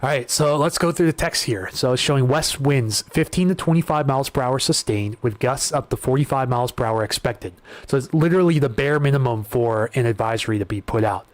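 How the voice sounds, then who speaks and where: A man hosting a live weather stream, talking through wind data and viewer questions in a casual, conversational way, often restarting his sentences, his voice starting to go hoarse. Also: medium pitch, a little fast, dry recording. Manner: conversational, repeats words and restarts while reading data. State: voice getting hoarse. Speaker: weather livestream host.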